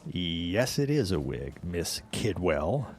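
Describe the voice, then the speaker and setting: A man's voice: deep voice, big pitch swings, takes it slowly, a little hiss or hum, heavily acted. A man acting a polite, persistent newspaper city editor in a 1910s period audio drama, speaking in a formal, courteous, probing way. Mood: probing, needling.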